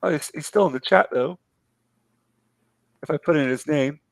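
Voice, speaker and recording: A man hosting a live art stream, losing his voice and talking in a relaxed, rambling way with many fillers. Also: a little high, normal speed, gravelly, no echo. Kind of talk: casual late-night chat.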